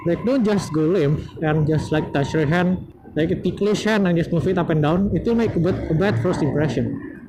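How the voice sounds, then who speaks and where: Young Indonesian man over road noise. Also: a little high. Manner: rambling, halting, many fillers and restarts. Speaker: motovlogger. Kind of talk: casual advice vlog while riding. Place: outdoors on a motorbike in traffic. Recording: vehicle noise on helmet mic. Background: road and engine noise.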